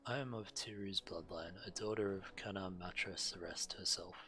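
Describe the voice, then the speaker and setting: A man with a deep but bright, forward voice reads quest text aloud as he streams a game. Game music plays lightly behind him. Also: moderate pace.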